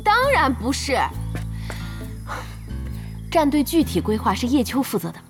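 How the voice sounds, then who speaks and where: A young woman acting in a Mandarin audio drama as a blunt, quick-tempered team boss with a brisk manner. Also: high voice, very animated, soft background music. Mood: defensive.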